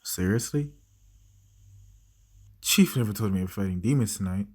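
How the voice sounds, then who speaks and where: A man's voice: on the low side, normal speed, darkish tone, husky. A man playing a bewildered, foul-mouthed police officer facing demons in an amateur audio drama. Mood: alarmed.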